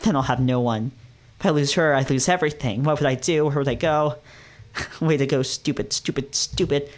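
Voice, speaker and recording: A man's voice: medium pitch, moderate pace, close to an everyday voice. Man playing young male fox, anxious, self-loathing, agitated, melodramatic, visual novel reading. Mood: panicked, self-loathing. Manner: agitated, overwrought, self-deprecating.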